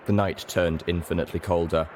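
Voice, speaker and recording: A man's voice: low-pitched, fairly even intonation, fairly quick, a little mellow. A man reading a story aloud in dramatic, scripted first-person narration. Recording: faint background noise.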